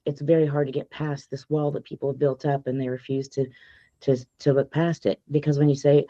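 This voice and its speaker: A woman, a guest author on a podcast, hoarse from allergies and speaking in an earnest, emphatic, faith-driven way. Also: low voice, flat delivery, a little fast, warm tone, no echo, muffled recording. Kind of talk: podcast interview.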